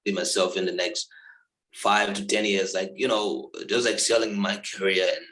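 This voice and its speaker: A man's voice: sits low, steady tone, normal speed, fairly bright tone, reverberant, rough recording. A young man from Liberia being interviewed over a video call, talking casually with many fillers and repeated words. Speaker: interviewee, law graduate.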